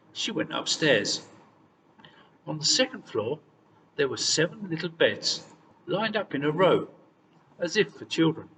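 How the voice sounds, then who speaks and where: A man reading a fairy tale aloud as scripted, expressive storytelling. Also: medium pitch. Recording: distant mic, long reverb, faint noise.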